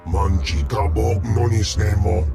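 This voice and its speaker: A massive alien crime king in a game, low and very slow. He is domineering and ponderous, rolling out an invented alien tongue. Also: vocal fry. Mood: imperious. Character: huge, imperious male alien slug crime lord. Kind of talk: video game voice acting. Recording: music playing underneath.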